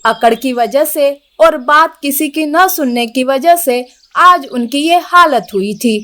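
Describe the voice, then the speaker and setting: A woman reads a children's story as narrator. Her voice is quite high. She delivers the scripted lines at a slow, measured pace. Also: bright, forceful tone.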